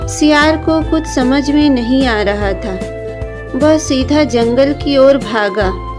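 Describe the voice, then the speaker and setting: A woman with a high voice tells a children's story at a slow, deliberate pace. It is scripted narration, with music playing clearly behind her. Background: background music.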